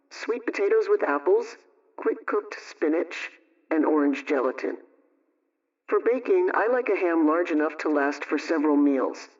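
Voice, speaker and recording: A man reading a scripted vintage radio homemaking show as host, giving measured recipe instructions. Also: on the high side. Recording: dull, distant old-radio sound.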